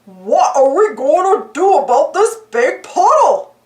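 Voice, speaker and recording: A woman voicing a clumsy, dopey male cartoon dog, loud and excitable. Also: extremely high pitch, a little slow, heavily acted. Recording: roomy echo.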